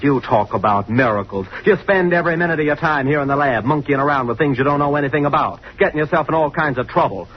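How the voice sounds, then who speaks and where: A man's voice: on the high side, fast, hardly any pauses, close to an everyday voice. A man playing a scornful young fraternity student in an old-time radio drama, dismissive and slangy. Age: young adult. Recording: dull old radio recording, hiss.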